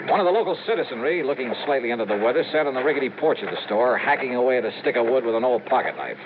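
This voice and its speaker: Lead for an old-time radio crime show: fast, animated male investigator and narrator, tinny broadcast sound. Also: mid-range voice, noisy background. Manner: brisk, wisecracking, urgent. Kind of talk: 1950s radio drama acting.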